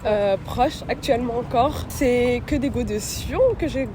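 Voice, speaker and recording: A young woman, talking casually and slangily as an interviewee, pausing on fillers. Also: a little high, noisy background. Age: young adult. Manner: relaxed, slangy, halting with fillers. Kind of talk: casual conversation.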